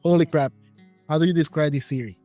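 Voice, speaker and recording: A male host whose first language is Spanish asks questions excitedly, exclaiming as he goes, with slightly broken phrasing. His voice sits a little high for a man. The recording is dry, with faint music behind it. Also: fast, no room sound. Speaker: podcast host. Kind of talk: casual interview questions.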